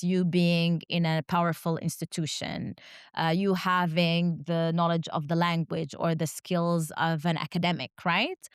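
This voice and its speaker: Low-voiced woman, Arabic native, measured. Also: clear, resonant tone, no echo, studio quality. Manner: measured, rhetorical "right?" tags. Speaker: guest academic. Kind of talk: reflective academic interview.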